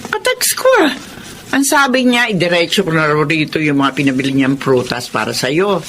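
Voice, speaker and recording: A woman's voice: sits low, big pitch swings, moderate pace, fairly bright tone, slight background noise. A woman playing a chatty, gossipy family friend in a radio drama.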